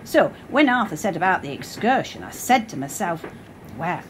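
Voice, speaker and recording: Actress as animated homely gossip. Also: female voice, fairly low pitch, normal speed. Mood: chatty. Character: chatty homely middle-aged wife, easily fascinated by shopping. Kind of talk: radio play dialogue. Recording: clear train noise behind.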